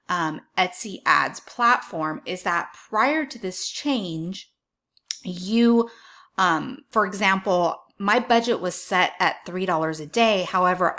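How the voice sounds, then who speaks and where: A woman with a slightly low, bright and forward voice talks viewers through practical advice for online sellers. She explains things informally but carefully, in measured phrases, leaning on key words for emphasis. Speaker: online seller giving marketing tips.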